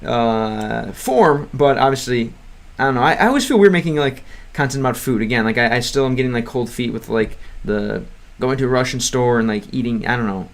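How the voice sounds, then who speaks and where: A man's voice: medium pitch, animated. Rambling young American man. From United States. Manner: rambling, halting, lots of fillers and restarts; hesitant beginner Russian with self-corrections. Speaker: streamer learning Russian. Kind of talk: casual livestream chat.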